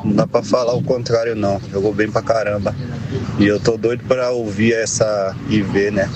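A man's voice: lowish voice, little pitch movement, normal speed. A man leaving a casual, friendly voice message for a radio sports show. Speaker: caller. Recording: voice message with loud street noise.

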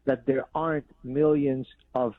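A man's voice: medium pitch, level tone, a little slow, no room sound. Emphatic man over a phone line. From Lebanon. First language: Arabic. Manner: emphatic, argumentative. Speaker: guest. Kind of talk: radio interview. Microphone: remote line.

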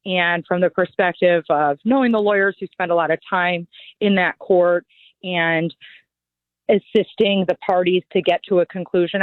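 A woman in her forties, a judicial candidate, answering interview questions in an earnest, measured, lawyerly way. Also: on the low side, no room sound. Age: 40s. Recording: phone-like line, dry.

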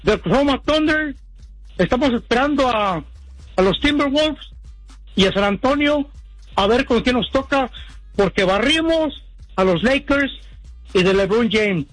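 A man's voice: extremely high pitch, animated, unhurried, pauses often, a bit bright, slightly rough, no echo, soft background music, low-quality recording. A man calling in to a sports radio show, chatting casually with apologies and stumbling restarts. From Mexico. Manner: rambling, apologetic, stumbling over words. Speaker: caller. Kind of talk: casual call-in chat.

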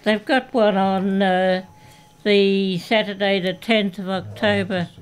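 A woman's voice: medium pitch, fairly even intonation, slow, slight background noise. A woman co-hosting a community radio show, talking hesitantly with many fillers. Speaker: radio co-host. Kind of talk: casual radio chat.

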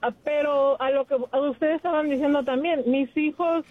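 A woman's voice: high-pitched, steady tone, normal speed. A Mexican woman calling into a radio show, talkative and telling about her family. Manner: talkative, storytelling. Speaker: caller. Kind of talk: phone-in chat. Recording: tinny phone line.

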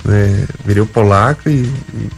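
Brief: male guest, gaúcho accent, gravelly, lively anecdotes with voiced-out dialogue. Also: on the low side, moderate pace, soft background music. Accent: Gaúcho Brazilian Portuguese. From Rio Grande do Sul, Brazil. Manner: animated, acts out quoted dialogue. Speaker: interview guest, comedian. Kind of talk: casual storytelling.

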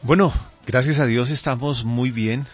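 A middle-aged man with a Colombian accent, speaking as a pastor in a radio interview in a deliberate, earnest way. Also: mid-range voice, steady tone, husky. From Colombia, raised there from age two. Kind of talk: radio interview, conversational testimony. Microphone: studio microphone. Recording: dull, band-limited radio audio.

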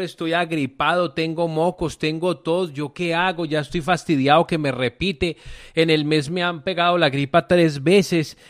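A man's voice: fairly high pitch, no room sound, clean studio sound. A Colombian man giving conversational health advice on the radio as a natural medicine doctor, talking to listeners in a folksy, colloquial way. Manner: folksy, colloquial, addresses listeners directly with diminutives.

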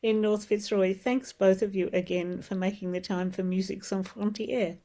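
A woman hosting a radio music show, warmly enthusiastic as she talks with her guests. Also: medium pitch, little pitch movement, normal speed. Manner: enthusiastic, encouraging. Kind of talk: radio interview.

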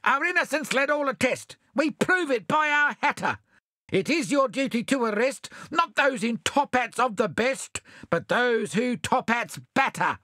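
Sneaky wheedling animal thieves, very high sing-song; man reading. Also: expressive, unhurried, sharp tone, no room sound, clean studio sound, exaggerated voice.

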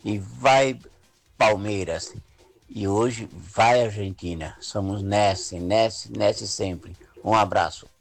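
A man's voice: fairly low pitch, slow pace, creaky. A man with a São Paulo Brazilian accent, speaking as an effusive listener in a casual fan message, repeating phrases for emphasis. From greater São Paulo, Brazil. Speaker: listener sending a greeting.

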